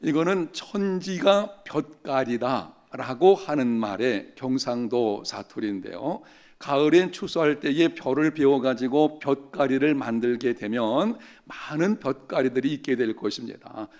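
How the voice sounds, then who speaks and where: A middle-aged male pastor preaching in a church, with a voice of ordinary pitch for a man. He tells stories in an easy way and slows down on the phrases he wants to stress. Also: a little mellow. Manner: storytelling, slowing down to stress key phrases. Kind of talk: sermon. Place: church sanctuary.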